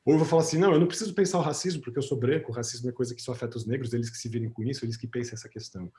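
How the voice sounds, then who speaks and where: A man with a Brazilian Portuguese accent speaking on a video call, reflecting slowly in conversation, with many pauses and restarts. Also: medium pitch, warm tone.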